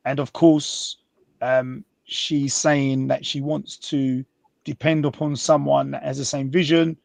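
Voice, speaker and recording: A man's voice: mid-range voice, little pitch movement, takes it slowly, gravelly, darkish tone, dry recording. A man hosting a panel, summing up a guest's points and then posing a question to the next, with some hesitant fillers. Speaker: host. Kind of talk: panel moderation.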